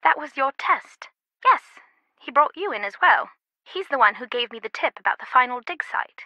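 A woman's voice: sits high, animated, moderate pace, dry recording, muffled recording. A woman playing a worldly, wry antiquities expert in an adventure game, talking in a conversational, confiding way. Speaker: video game voice actor. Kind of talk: scripted adventure game dialogue.

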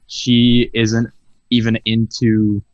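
A man's voice: medium pitch, monotone, slow pace, a little mellow, no echo. A late-teen man, a podcast guest on a video call, talking casually with swearing and stumbling restarts. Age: teens. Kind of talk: casual chat. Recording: dull lo-fi video call audio.

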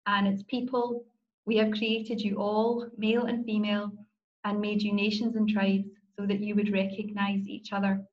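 A woman's voice: mid-range voice, level tone, normal speed, highs cut off. A middle-aged Scottish woman, a law professor and moot court coach, giving an enthusiastic, personal talk on a conference panel over a video call. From Scotland.

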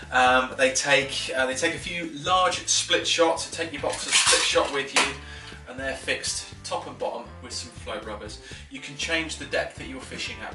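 A man, giving a chatty, enthusiastic fishing tackle tutorial at a table at home, with filler words and quick restarts. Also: medium pitch. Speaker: fishing instructor. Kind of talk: casual tackle tutorial to camera. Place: living room at a wooden table. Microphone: camera a few feet away. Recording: roomy, slightly distant, faint music.

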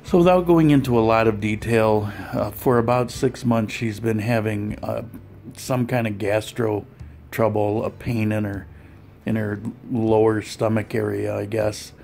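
Gravelly-voiced man narrating his vlog. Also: fairly low pitch, unhurried, soft background music. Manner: relaxed, conversational, with frequent fillers and restarts. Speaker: vlogger. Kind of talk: voice-over narration of a clinic visit.

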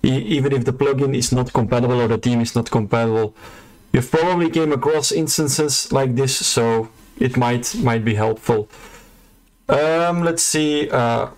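A man's voice: mid-range voice, normal speed, darkish tone, rough recording. A man talking through a screen-recorded coding tutorial in a casual, unscripted way, with frequent fillers and restarts. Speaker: web developer tutor.

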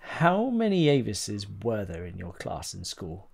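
An actor playing a genteel, self-deprecating Victorian sculptor in middle age, with hesitant, old-fashioned gentlemanly speech, in a period audio drama. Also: male voice, on the low side, wildly swooping pitch, unhurried, gravelly, exaggerated voice. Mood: charming, flirtatious. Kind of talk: period radio drama acting.

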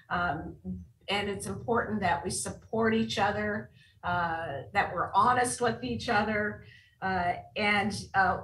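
A woman's voice: medium pitch, a bit bright. A woman moderating an online panel, upbeat and easy with a laugh. Her voice comes through roomy and set back from the mic, with faint background noise on the call. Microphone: video call. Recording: echoey distant call audio with faint room noise.

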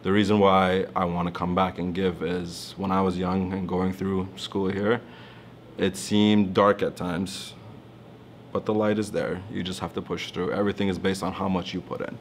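A man's voice: deep voice, little pitch movement, moderate pace, slight background noise. A man in his thirties from Toronto, a physician speaking earnestly in a seated studio interview testimonial. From Toronto, Canada. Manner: earnest, reflective, gestures while talking. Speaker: alumnus physician. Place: studio with branded backdrop, indoors.

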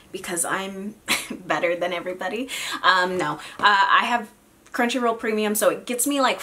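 A young woman with a raspy, sick voice chatting casually about her figure collection, with lots of ums and self-mocking asides, filmed at home at desk distance. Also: medium pitch, bright, forceful tone. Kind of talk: casual unboxing chat. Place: home room with figure shelves. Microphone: camera at desk distance.

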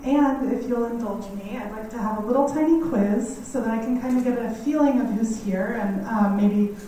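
A woman in her thirties with a quiet, slightly scratchy voice gives a friendly, chatty talk in a lecture hall, laughing often. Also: on the high side, normal speed. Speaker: guest speaker on native bees. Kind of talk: community lecture. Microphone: standing mic heard from a distance. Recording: echoey hall, mic far off, faint noise.

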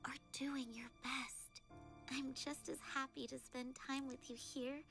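A gentle, earnest young girl, speaks in a high, soft voice. She is caring and wistful, acting in an animated drama with music behind her. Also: measured pace, gravelly, full character voice. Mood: wistful, tender.

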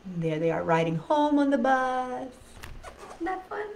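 A middle-aged woman reading a picture book aloud to children, with dramatic pauses and chatty asides to her listeners. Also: sits high. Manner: animated, dramatic pauses, addresses young listeners. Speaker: storytime host reading picture books to children. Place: indoors in a living room. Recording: roomy, distant mic with background noise.

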